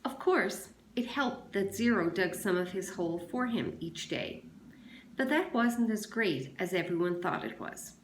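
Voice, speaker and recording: A woman reads a children's novel aloud as a teacher would, with expression. Her voice sits at a middling pitch. The room around her rings with noticeable echo. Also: animated, a little slow, slight background noise. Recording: echoey room sound.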